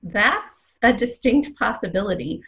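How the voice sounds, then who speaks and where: Casting: actress as opinionated theatre pundit, female, highish creaky voice, rhetorical and emphatic, slightly muffled sound. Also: animated, a little slow, fairly bright tone. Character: opinionated, theatrical woman co-hosting a casting-odds show. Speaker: co-host of a theatre prediction show. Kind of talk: scripted podcast banter and commentary.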